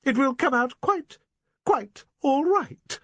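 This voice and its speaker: A man voicing a shrill, hysterical, fanatical middle-aged spinster in an audiobook reading. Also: wildly swooping pitch, moderate pace, no room sound. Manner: shrill, breathless, rising to frenzied.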